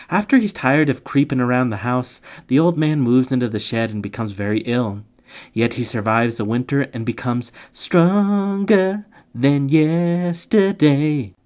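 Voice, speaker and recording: A man's voice: medium pitch, a little mellow. A man reading a scripted narration in a playful, tongue-in-cheek way, slipping slangy comic asides into it. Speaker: narrator of literature summary videos.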